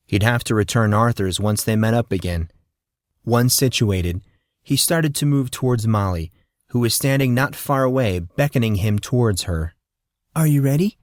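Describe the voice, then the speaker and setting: A man's voice: lowish voice, no room sound, studio quality. A man reading scripted fantasy fiction as an audiobook narrator.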